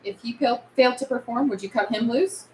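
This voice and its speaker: A woman reading the part of a defiant, sharp-tongued microbiologist among survivors at a script table read. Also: on the high side, fairly quick, a little hiss or hum, natural voice, low-quality recording.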